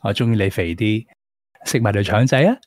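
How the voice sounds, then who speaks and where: A man's voice: lowish voice, animated, a little fast, dry recording, lightly acted. A man plays a doting boyfriend in a radio play, coaxing sweetly. His intonation swings widely and the sound is dry and close. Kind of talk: Cantonese audio drama acting.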